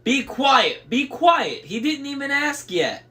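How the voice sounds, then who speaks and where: A man's voice: extremely high pitch, big pitch swings, measured pace, bright, forceful tone, voice pushed up, exaggerated voice. A man voicing bickering, spiteful old hag sisters in a screeching, frantic storybook delivery. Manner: screeching, wailing, quarrelsome delivery.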